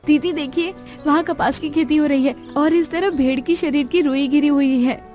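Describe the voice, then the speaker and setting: A woman's voice: high voice, normal speed, a little mellow, faint music behind, pitched above the performer's own voice, close to an everyday voice. A woman voicing a resourceful, caring young daughter-in-law in a cartoon story. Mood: brisk, practical.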